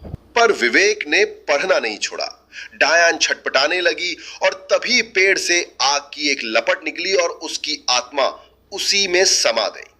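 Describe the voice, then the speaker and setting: Male storyteller for horror tales: very sharp, forceful tone with a raspy edge, dramatic scripted read. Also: a little high, lively intonation, slow. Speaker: storyteller narrator.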